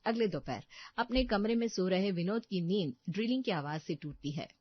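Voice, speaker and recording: A woman's voice: medium pitch, lively intonation, moderate pace, no room sound. A woman narrating a scripted story as its storyteller. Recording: slightly dull, dry studio recording.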